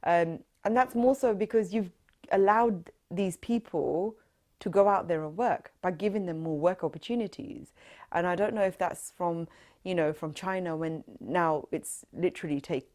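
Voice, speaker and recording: Woman, 30s, London accent, low voice, earnest interviewee in an oral history interview. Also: moderate pace, darkish tone. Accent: London English. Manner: reflective, earnest, with many fillers.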